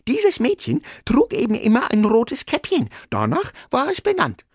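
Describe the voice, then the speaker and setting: Wildly animated sailor sidekick. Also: male voice, very high-pitched, normal speed, husky, no room sound, clean studio sound, full character voice. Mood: earnest, exasperated. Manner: hesitant, earnest explaining. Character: earnest, easily exasperated male sailor sidekick telling a fairy tale. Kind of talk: comic radio dialogue storytelling.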